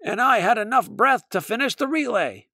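Squirrel boy, eager, raised voice, man doing it, gravelly, bright. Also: very high-pitched, animated, normal speed, dry recording, clean studio sound, full character voice. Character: nervous young boy squirrel pupil.

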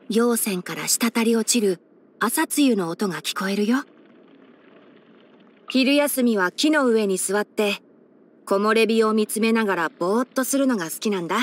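A woman voicing a boyish young male fox-eared forest ranger and scholar in an anime game, instructive and frank with a gently teasing manner. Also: medium pitch, expressive, normal speed, pauses often, lightly acted. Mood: calm, frank. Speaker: character voice actor. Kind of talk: anime game character voice lines.

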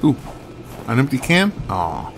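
A man's voice: mid-range voice, wildly swooping pitch, a little slow, a little hiss or hum. A man giving casual, rambling video game commentary in a wry, musing way, thinking aloud. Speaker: game streamer.

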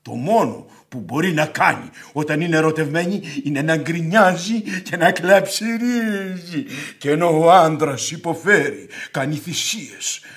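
Blustering, high-pitched angry gentleman. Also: animated. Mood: scornful, declaiming. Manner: declamatory, bellowing, sarcastic. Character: blustering, hot-tempered middle-aged gentleman creditor, scornful of women. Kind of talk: theatrical radio play acting.

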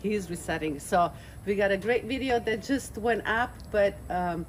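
A woman's voice: mid-range voice, moderate pace, a little hiss or hum, poor audio. A woman in her thirties chatting on a home vlog, bubbly and laughing often, a little tired. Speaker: co-host. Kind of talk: casual vlog chat. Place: outdoor patio, then home kitchen.

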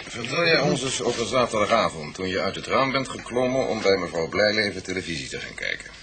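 An actor playing a polite but firm police detective in a radio drama. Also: male voice, lowish voice, very animated, fast talker, noisy background.

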